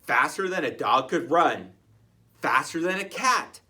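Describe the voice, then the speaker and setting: A reader narrating a children's story in an expressive, playful way, with chatty asides to the listeners. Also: mid-range voice, measured pace.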